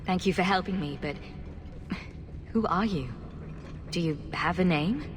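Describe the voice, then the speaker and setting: An actress playing a resolute, civil young adventurer in a video game, speaking in a direct, questioning way. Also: female voice, lowish voice, measured pace, noisy background, close to an everyday voice. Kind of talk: dramatic video game acting.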